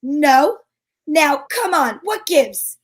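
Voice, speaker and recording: A woman's voice: high voice, sing-song, drawn-out words, exaggerated voice. A woman playing a wry, wisecracking female private eye in an old-time radio detective drama. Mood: breezy, teasing. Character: wry, breezy woman private eye narrating her case.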